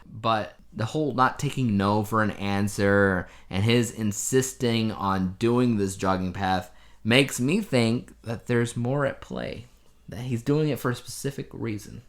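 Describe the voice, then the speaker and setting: A man with a fairly low voice talks as a podcast co-host. His style is casual and conversational, like someone recounting and reviewing things for a friend, with emphatic asides along the way. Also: measured pace. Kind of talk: casual chat reviewing a TV show.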